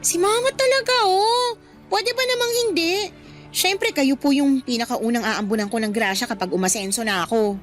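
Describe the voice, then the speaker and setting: A woman's voice: high-pitched, normal speed, soft background music, natural voice. A young woman playing a devoted, outspoken student daughter in a Filipino radio drama, earnest and emotional. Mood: caring, earnest.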